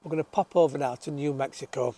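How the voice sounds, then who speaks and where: A chatty man whose voice sits a touch high and is still croaky from a recent illness. He talks casually to his viewers about his allotment, reading out their comments in a friendly way. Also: quick pace, mellow. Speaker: host of an allotment gardening show. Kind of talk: casual chat to camera. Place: outdoors on an allotment, birdsong around.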